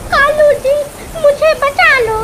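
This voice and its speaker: A fearful cartoon crow wife acted by a woman pleads in a very high voice. She is whiny and fretful, drawing her words out slowly. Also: very noisy. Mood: frightened.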